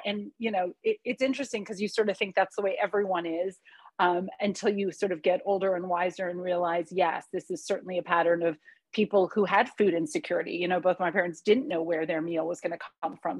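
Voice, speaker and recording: A woman in her forties, born in the United States with Hungarian as her first language, talking candidly as a podcast guest, often pausing with fillers and restarts. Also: medium pitch, husky, no echo. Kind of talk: conversational interview.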